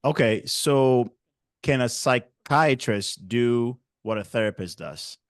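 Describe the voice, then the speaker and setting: A man's voice: medium pitch, takes it slowly, dry recording, studio quality. A man hosting a casual interview, talking loosely with many fillers and restarts. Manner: filler-heavy, restarts often. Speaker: host.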